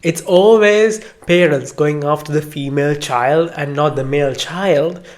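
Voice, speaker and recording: A woman's voice: low-pitched, unhurried, darkish tone. Blunt, informal woman podcast co-host. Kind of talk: casual opinionated chat.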